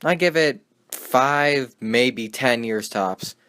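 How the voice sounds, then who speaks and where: A man's voice: mid-range voice, drawn-out words, fairly bright tone. Man playing a wisecracking 1920s radio sports host. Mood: cocky. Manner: folksy, slangy, sardonic. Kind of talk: 1920s radio broadcast acting with period slang.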